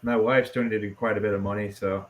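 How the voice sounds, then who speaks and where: A man's voice: on the low side, little pitch movement, brisk. A man chatting casually as a guest musician in an interview, laid-back and self-deprecating. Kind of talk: casual chat, being interviewed.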